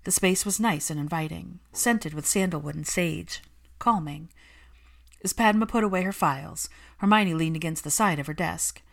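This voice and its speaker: A woman's voice: lowish voice, normal speed, creaky. A woman reading scripted fiction aloud as a story narrator.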